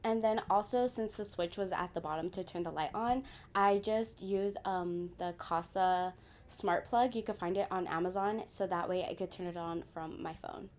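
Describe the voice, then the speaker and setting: A woman's voice: on the low side, normal speed, a little mellow. A young woman chatting casually to her viewers as she gives a room tour, talking to them directly. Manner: chatty, addresses viewers as you guys. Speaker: lifestyle vlogger.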